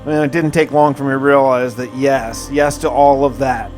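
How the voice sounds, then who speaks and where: A man's voice: medium pitch, steady tone, normal speed, a little mellow, faint music behind. A man hosting a gaming video, narrating his play casually in the first person with wry, conversational musing.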